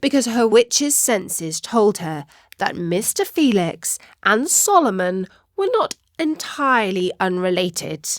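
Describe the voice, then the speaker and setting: A woman narrating a scripted children's story with deliberate, dramatic phrasing. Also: medium pitch, very animated, no room sound, clean studio sound.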